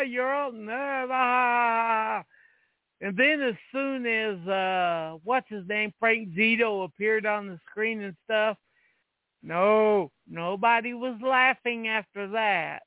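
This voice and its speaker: A man's voice: very high-pitched, slow, dry recording. A man co-hosting a casual podcast chat, giving brief replies and swearing bluntly. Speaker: co-host. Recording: tinny phone-like line, dry.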